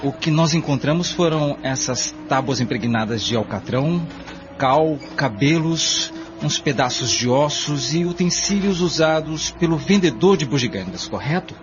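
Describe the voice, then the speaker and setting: A male actor plays an earnest and courteous leader of a dig in an amateur radio drama. His voice sits a little high and he phrases things formally, hesitating at times. The recording is muffled, with clear noise. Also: lively intonation, moderate pace, close to an everyday voice. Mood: businesslike. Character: earnest male neighbor leading a torchlit cellar dig.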